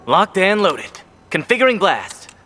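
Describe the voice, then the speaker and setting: Smug lab genius, lightly high-pitched, lively, music underneath. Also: male voice, heavily acted. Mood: self-satisfied. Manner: smug, hurried, technical. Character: cocky, nerdy male scientist, fussy and self-important. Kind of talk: video game dialogue.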